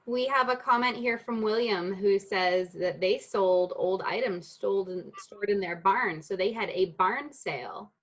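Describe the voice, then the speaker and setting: A woman with a medium-pitched voice hosts a storytime. She speaks casually as she asks questions and passes along viewers' comments. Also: unhurried, few pauses, a bit bright, lo-fi sound. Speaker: bookstore storytime host.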